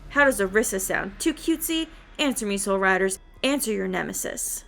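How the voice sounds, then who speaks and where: A woman's voice: mid-range voice, lively intonation, brisk, creaky, fairly bright tone, soft background music. A woman voicing a mock-innocent, taunting chaos villain who poses as a little girl in a fantasy game.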